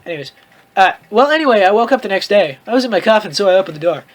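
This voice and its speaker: Man, high voice, comedic read-aloud host, theatrical delivery, sarcastic exclamatory asides. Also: lively intonation, racing. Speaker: host reading fan fiction aloud. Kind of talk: comedic dramatic reading with casual commentary.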